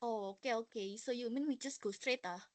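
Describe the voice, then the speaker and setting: A lost, polite Singaporean student, played by a young woman asks for directions with Singlish particles. The voice is fairly high, with a dry, close sound. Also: fairly quick, darkish tone, no echo, close to an everyday voice. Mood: checking. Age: young adult. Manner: ends phrases with Singlish particles. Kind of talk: student classroom role-play.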